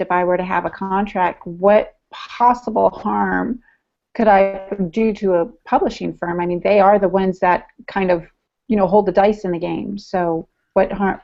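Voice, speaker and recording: A woman's voice: mid-range voice, vocal fry, a little mellow. A woman in her forties asking questions conversationally as a podcast co-host on a video call. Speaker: podcast co-host, first-time novelist. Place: home room, indoors. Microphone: earbuds on a video call. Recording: dull, compressed video-call audio.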